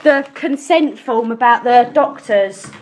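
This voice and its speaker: Young female, slightly high voice, blunt challenging phone manner, colloquial client speaking to an official. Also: takes it slowly, a little hiss or hum. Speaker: client of social services, a mother. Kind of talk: phone conversation.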